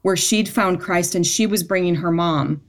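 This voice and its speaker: Lowish-voiced woman in her forties, earnest. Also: normal speed. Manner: heartfelt storytelling with frequent restarts. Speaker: events ministry guest. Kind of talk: casual podcast conversation.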